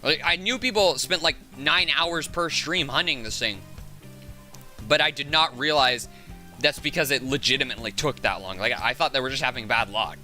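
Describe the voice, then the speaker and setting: A man hosts a casual gaming stream in a hoarse, raspy voice that still cuts through very brightly. His pitch sits in the middle for a man. He rambles and reasons out loud, with faint music behind him. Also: normal speed. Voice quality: raspy, sore voice. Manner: rambling, thinking aloud. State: hoarse from hours of talking. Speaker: game streamer, host. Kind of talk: casual livestream chat while gaming.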